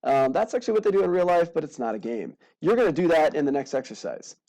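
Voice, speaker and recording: A man's voice: a little high, sing-song, fast talker, mellow, poor audio. A man giving an informal aviation lesson as an instructor, with casual, playful asides. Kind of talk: informal aviation lesson narrated over slides.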